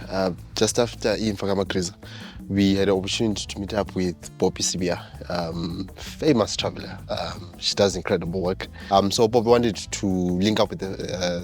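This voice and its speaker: Male host, very deep, halting delivery, soft music underneath. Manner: hesitant, many ums and uhs. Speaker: show host. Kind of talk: casual spoken introduction.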